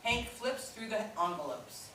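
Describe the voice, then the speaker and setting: A woman's voice: mid-range voice, sing-song, a little slow, bright, forceful tone. A woman reading stage directions as scripted narration at a live play reading. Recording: echoey room from far off, faint noise.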